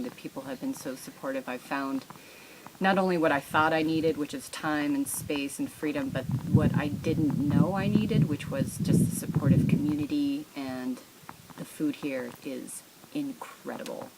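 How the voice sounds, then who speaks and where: An American woman with a low voice speaks informally as an interviewed writer. She is expressive and candid. There is noticeable background noise on the recording. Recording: clearly noisy background.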